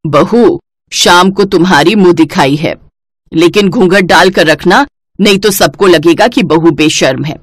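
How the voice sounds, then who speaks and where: A woman's voice: lowish voice, unhurried, slightly rough, no echo, clean studio sound. A woman voicing a nagging, easily embarrassed middle-aged mother-in-law in a cartoon family story. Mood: scolding. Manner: nagging, chiding.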